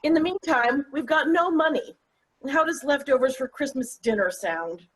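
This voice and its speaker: A woman's voice: sits high, expressive, normal speed, low-quality recording. A woman playing a wry, exasperated middle-aged mother in a comic play read aloud. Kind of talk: table-read stage comedy acting.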